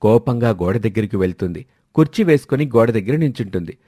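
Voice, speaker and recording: A man's voice: on the low side, animated, quick pace, warm tone, no echo, studio quality. A man narrating a scripted family moral story.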